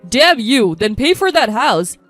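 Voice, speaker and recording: A woman's voice: fairly high pitch, big pitch swings, unhurried, fairly bright tone, dry recording, heavily acted. A woman voicing a blunt, bitter man in a story's family feud. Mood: angry, cold. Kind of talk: acted dialogue in a narrated story. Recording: background music under the voice.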